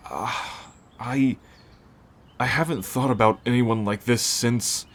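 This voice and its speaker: A man playing a gruff, brooding teacher who is turning into a werewolf, halting and protective, in an ASMR roleplay. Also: mid-range voice, steady tone. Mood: worried, tender. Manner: halting, sighing, sardonic.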